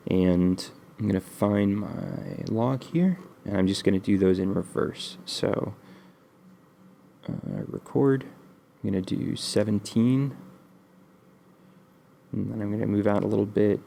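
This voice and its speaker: Low gravelly guy, sniffly w a cold, laid back and rambly walking thru his game code. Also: measured pace, pauses often, a little hiss or hum. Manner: relaxed, rambling with many ums. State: stuffy from a cold. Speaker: game development tutorial host. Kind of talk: casual screen-recorded coding tutorial.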